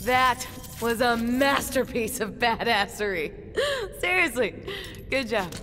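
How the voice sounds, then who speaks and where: A woman's voice: fairly high pitch, lively intonation, slow pace, a bit bright, a little hiss or hum. A woman playing a protective, earnest warrior mentor in a video game, speaking firmly. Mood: approving. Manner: firm, earnest. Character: protective, firm adult female warrior mentor. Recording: long hall reverb, music behind.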